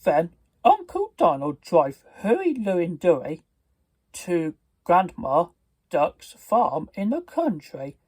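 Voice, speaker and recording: A storybook reader and video host with a halting, careful delivery and stumbles, reading aloud in a husky voice. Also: mid-range voice, sing-song, darkish tone, dry recording. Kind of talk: read-aloud storybook narration.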